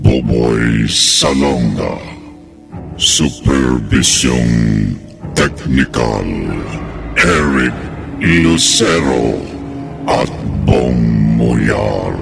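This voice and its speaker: Very deep, gravelly male horror announcer. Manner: ominous, drawn-out theatrical delivery. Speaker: announcer of horror radio drama. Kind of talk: scripted dramatic radio narration. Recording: loud music behind the voice. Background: loud music.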